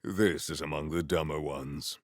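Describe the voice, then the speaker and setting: An actor playing a proud, formal, honor-bound warrior in a video game, with archaic, theatrical diction. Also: male voice, a bass voice, steady tone, measured pace, dry recording, clean studio sound. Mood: solemn, measured.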